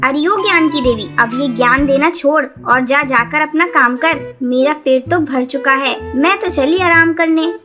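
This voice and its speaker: A woman's voice: high voice, a little slow, few pauses. A woman voicing a greedy, scheming mother-in-law sparrow in a children's cartoon, with a whining, theatrical, scolding manner. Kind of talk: children's cartoon story dialogue. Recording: music behind the voice.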